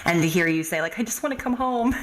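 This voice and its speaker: A woman's voice: fairly high pitch, expressive, a little fast. A woman hosting an interview podcast in an enthusiastic, effusive way. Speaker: podcast host. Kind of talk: upbeat interview chat. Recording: noticeable background noise.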